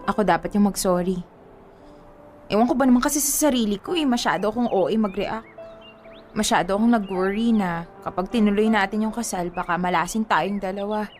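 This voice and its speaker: A woman's voice: medium pitch, brisk, darkish tone, close to an everyday voice. A woman acting a remorseful young fiancée with a Filipino accent in an emotional, pleading radio drama. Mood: apologetic, self-blaming. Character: remorseful young fiancée making up with her boyfriend. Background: music behind the voice.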